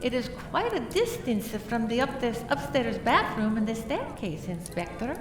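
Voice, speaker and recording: A woman playing a pious, demure lady suspect in a radio play, polite and halting, repeating her words. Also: a little high, very animated, over music. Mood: apologetic, demure. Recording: echoey room from far off.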